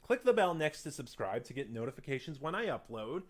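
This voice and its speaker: A man reviewing audio dramas in a casual, unscripted monologue, speaking haltingly with emphatic points and quieter trailing asides. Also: medium pitch, sing-song.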